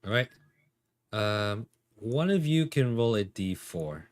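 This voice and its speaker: Low-pitched man running a tabletop game. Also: sing-song, slow, no room sound. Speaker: game master. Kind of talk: casual tabletop game narration.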